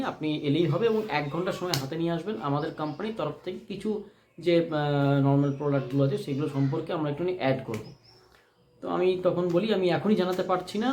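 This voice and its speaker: A man telling a personal story unscripted, in a deliberate, emphatic way that repeats phrases for stress. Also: a little high, darkish tone, slight background noise. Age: adult, married. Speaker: vlogger telling a personal experience. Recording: echoey room.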